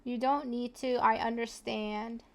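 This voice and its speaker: A woman game streamer casually reacting over gameplay with offhand, drawn-out comments. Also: on the high side, fairly even intonation, creaky, a little hiss or hum.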